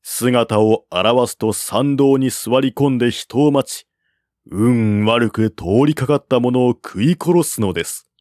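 A man narrates a historical novel in a formal storytelling style. His pace is slow and measured, his pitch is mid-range. The recording is dry, with no room sound. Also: darkish tone, no echo. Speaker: narrator, audiobook-style reader.

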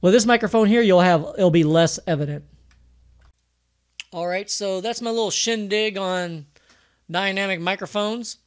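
Male producer, thin high voice, loose chatty tutorial delivery with lots of "uh". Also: normal speed, long pauses, smooth. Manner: casual, rambling, with many fillers and restarts. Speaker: music producer teaching mixing. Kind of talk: screen-recorded tutorial talk. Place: home project studio.

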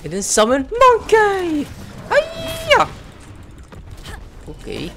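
A man streaming a video game, commenting casually and breaking into loud, high yelps during fights. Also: huge pitch swings, drawn-out words, vocal fry, noisy background. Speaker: gameplay streamer. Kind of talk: live gameplay commentary. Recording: game music playing underneath.

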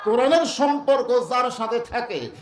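Man, sermon orator: theatrical, half-chanted delivery with hushed drops and big cries, creaky voice, heavy echo. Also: mid-range voice, moderate pace, a little hiss or hum. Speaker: Islamic preacher. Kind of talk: Bengali waz sermon, dramatic storytelling with chanted phrases. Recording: echoey sound system.

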